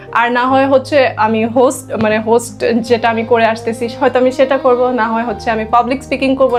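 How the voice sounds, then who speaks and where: High-voiced young Bangladeshi woman. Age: young adult. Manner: code-switches often between Bangla and English. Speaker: interview guest, trainer and content creator. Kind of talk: casual conversational interview.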